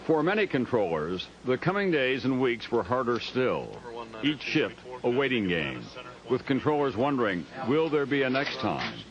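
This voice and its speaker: A man's voice: medium pitch, very animated, normal speed, crowd noise. A man narrating a news documentary in a scripted reporter's style. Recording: dull lo-fi audio, faint noise.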